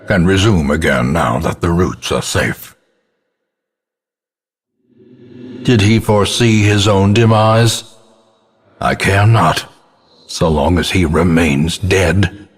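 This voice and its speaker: A man playing a grim old ancestor, narrating a gothic game in a grave, theatrical and portentous manner with a husky, gravelly voice. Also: deep voice, lively intonation, exaggerated voice. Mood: grim contempt. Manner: grave, ornate, deliberate, portentous.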